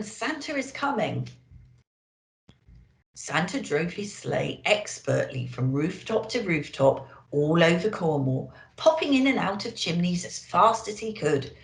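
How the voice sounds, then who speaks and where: A woman reading a children's storybook aloud in an expressive, theatrical way, addressing young listeners. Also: on the low side, measured pace. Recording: echoey, roomy sound.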